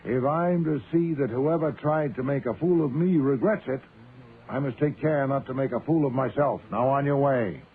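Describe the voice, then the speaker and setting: A man's voice: medium pitch, moderate pace, natural voice. A man playing an imperious, proud genius detective in a radio drama, with pompous, precise, formal diction. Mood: commanding. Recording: muffled, noisy phone-like old radio sound.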